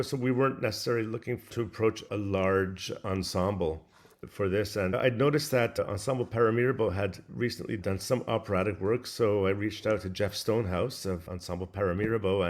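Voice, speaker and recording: A man speaking as an interview guest, a composer explaining and recounting in a conversational, anecdotal way. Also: fairly low pitch.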